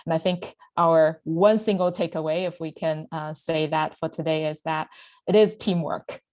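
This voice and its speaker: A woman's voice: sits low, fairly even intonation, moderate pace, a little mellow, no room sound. A woman moderating an online panel, giving hesitant closing remarks with many fillers.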